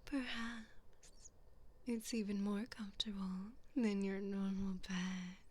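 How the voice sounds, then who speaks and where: Woman acting as evil queen, ASMR roleplay, extremely breathy whisper, very slow delivery, haughty, cruel, condescending, taunting. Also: mid-range voice, flat delivery.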